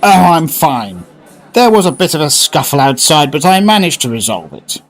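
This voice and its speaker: An actor plays a blustering British businessman in a radio drama. His fairly high male voice swings widely in pitch, brisk, chatty and excitable. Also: faint music behind. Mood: breezy. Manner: animated, colloquial, grumbling. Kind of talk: fan-made radio drama acting.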